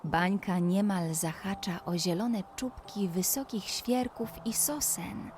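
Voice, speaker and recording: A woman narrating a scripted bedtime story in a gentle, soothing manner, as if guiding a child toward sleep. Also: mid-range voice, slow pace, noisy background. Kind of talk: slow scripted bedtime story with guided relaxation. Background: background music.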